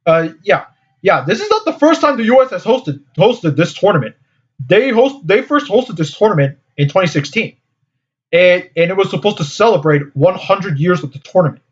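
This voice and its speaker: A man hosting a casual group discussion, speaking haltingly and often repeating words. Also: sits high, big pitch swings. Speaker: discussion host. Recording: slightly dull, lo-fi audio.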